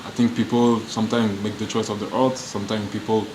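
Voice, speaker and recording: A man from France answering press questions in halting, searching English, restarting his sentences often. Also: lowish voice, little pitch movement, noisy background. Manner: halting non-native English, frequent restarts. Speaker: professional footballer. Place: media room.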